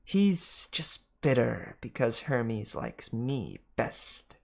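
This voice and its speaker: A reader voicing a scolding, bickering female snake with a drawn-out, chiding delivery in a storybook. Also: medium pitch, very animated, creaky, heavily acted.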